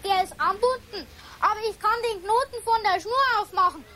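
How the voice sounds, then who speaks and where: Daring young boy, shrill and eager, radio play. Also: fairly quick, bright, forceful tone, slight background noise. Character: bold mischievous young boy.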